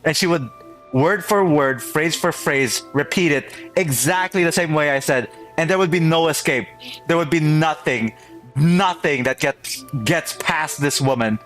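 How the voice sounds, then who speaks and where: Guy streamer chatting over a game, highish voice, exclaims and repeats himself, faint music behind. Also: measured pace. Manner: animated, exclamatory, self-repeating. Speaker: live streamer. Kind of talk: casual playthrough commentary.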